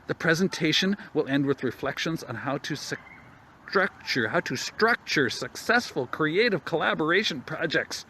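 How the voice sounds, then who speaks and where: A man with a slightly high voice performs a comic monologue as he reads a document aloud. His delivery is theatrical and halting, full of exaggerated wonder, with faint noise in the recording. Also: animated, bright, forceful tone. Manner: exaggerated wonder, halting, repeats words for effect.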